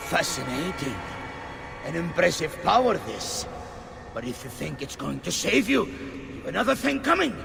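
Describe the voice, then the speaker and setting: An actor playing a gloating, menacing older pope in a video game, gravelly and theatrically mocking. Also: male voice, a little high, sing-song, music in the background, heavily acted. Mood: menacing, intrigued. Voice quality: gravelly, creaky. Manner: theatrical, mocking, swinging from slow murmurs to shouts. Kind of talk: dramatic video game cutscene acting.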